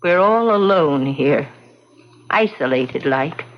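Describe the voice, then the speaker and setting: A frightened little housekeeper in a vintage radio drama. She speaks anxiously and haltingly, with superstitious sorrow. The sound is dull and lo-fi, like an old broadcast. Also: sits low, lively intonation. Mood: fearful. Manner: anxious, halting, repeats words, exclaims "Oh".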